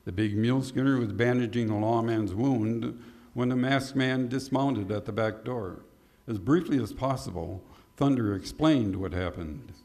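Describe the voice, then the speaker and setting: A man's voice: mid-range voice, normal speed, a little mellow. A man narrating a scripted Western adventure in the style of an old-time radio drama. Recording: clearly noisy background.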